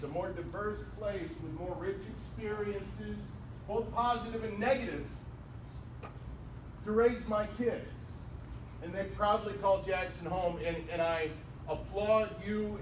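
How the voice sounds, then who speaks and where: Booming, joking male emcee in a hall. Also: recorded from a distance. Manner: loud, joking crowd work. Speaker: radio host acting as emcee. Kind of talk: emcee speech at an awards event. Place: large indoor event room. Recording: echoey event hall, mic from afar, noisy.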